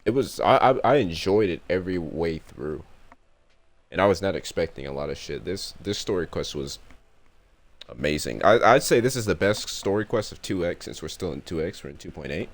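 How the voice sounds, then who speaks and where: A young man streaming his reaction to a game, excited and slangy, swearing freely. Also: low voice, a little fast. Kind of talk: casual reaction commentary.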